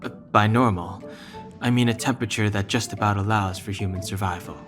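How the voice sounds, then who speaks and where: A man voicing a calm, serious, dry-witted young guard in an anime-style game, composed and deadpan. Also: lowish voice, fairly quick, natural voice. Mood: calm, explanatory. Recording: game music under the voice. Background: background music.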